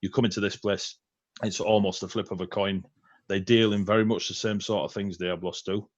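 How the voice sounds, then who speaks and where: A man's voice: fairly low pitch, fairly even intonation, fairly quick, no room sound, muffled recording. A man running a tabletop game as game master, chatty and rambling, with fillers and laughs.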